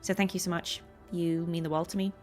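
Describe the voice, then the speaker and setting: A woman's voice: fairly low pitch, level tone, fairly quick, a little mellow, faint music behind. A woman chatting casually and affectionately to viewers on a livestream from home, giggly and chatty. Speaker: streamer and voice actress.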